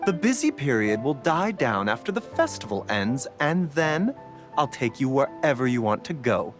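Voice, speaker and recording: A man playing a patient, reassuring doctor in a video game, soft-spoken, soothing and polite. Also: mid-range voice, very animated, moderate pace, faint music behind, lightly acted. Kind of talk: English video game voice acting.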